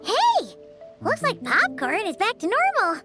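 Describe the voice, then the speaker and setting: A woman voicing a tiny, excitable floating companion sprite who chatters brightly and calls herself by name. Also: very high-pitched, a little slow, music in the background, full character voice. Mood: chirpy. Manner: chirpy, speaks of herself by name. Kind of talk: video game voice acting.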